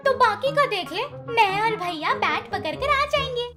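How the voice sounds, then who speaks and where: Greedy, playful kid bird for a cartoon moral tale, played by a woman; extremely high, swooping childlike delivery. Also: animated, measured pace, music in the background. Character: gluttonous, cheeky little boy bird obsessed with laddoos. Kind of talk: children's animated moral story.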